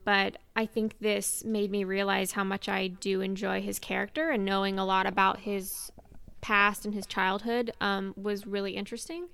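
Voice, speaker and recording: A woman's voice: medium pitch, level tone, moderate pace, creaky, fairly bright tone, smooth. A woman co-hosting a podcast, chatting casually as a fan in a discussion. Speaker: podcast co-host.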